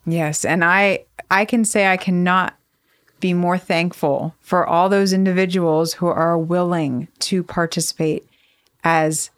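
Female research lead being interviewed, slightly low voice, very dry close sound, explanatory. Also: steady tone, a little slow, clean tone, no room sound. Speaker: principal investigator, scientist guest.

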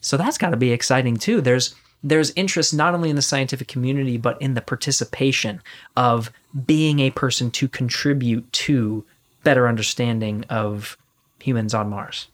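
Male podcast host, mid-pitched, conversational, halting as he rewords his thoughts. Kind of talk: conversational interview.

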